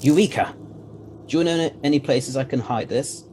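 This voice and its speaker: A man playing an eccentric, confident time-travelling gentleman scientist in an audio drama, courteous and explanatory, with old-fashioned phrasing. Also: mid-range voice, moderate pace, background noise, close to an everyday voice. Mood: pleased, busy.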